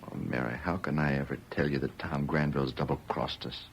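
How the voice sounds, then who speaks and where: A man's voice: very deep voice, steady tone, fairly quick, vocal fry, highs cut off. A man playing an anxious, despairing pioneer husband with plain folksy rural speech in an old-time radio western. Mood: sorrowful, tender. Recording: hissy vintage radio recording.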